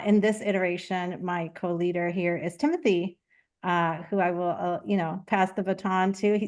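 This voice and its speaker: A woman's voice: medium pitch, darkish tone. A woman leading a group meeting on a video call, friendly and easy to laugh. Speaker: group leader and host. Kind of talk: casual video call hosting.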